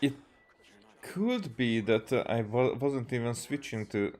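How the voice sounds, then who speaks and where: A man from Hungary with a Hungarian accent, giving casual, halting gameplay commentary as he streams. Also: mid-range voice, expressive, darkish tone. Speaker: game streamer.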